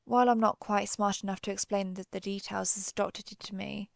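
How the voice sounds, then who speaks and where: A woman playing an earnest, conflicted vampire hunter who is herself a vampire, speaking formally with hesitant pauses in a dramatic audio roleplay. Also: medium pitch, steady tone, gravelly, no room sound, natural voice. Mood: concerned, sincere. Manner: formal, old-fashioned wording, hesitant pauses. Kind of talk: dramatic audio roleplay, one-sided dialogue.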